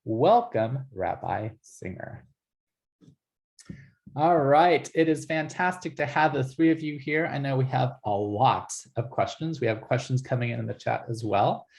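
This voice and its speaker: A man's voice: medium pitch, measured pace, gravelly, a little mellow. A man in his forties moderating a video panel, asking questions in a friendly, hesitant way. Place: home living room. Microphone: video call.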